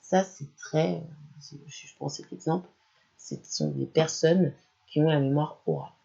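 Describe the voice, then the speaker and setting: A presenter explaining a learning topic straight to the listener, in a gravelly, mellow voice. The sound is dull and lo-fi. The delivery is steady and instructional. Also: mid-range voice, a little slow. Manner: explanatory, addresses listener directly. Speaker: language channel presenter. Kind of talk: educational talk to camera.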